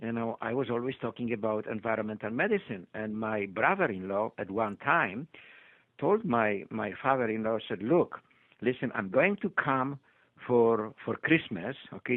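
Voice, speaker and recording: Older man, Polish accent, hesitant with many fillers, mid pitch, tinny phone line, dry sound. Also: dry recording. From Poland. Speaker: interview guest, doctor. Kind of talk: conversational interview storytelling.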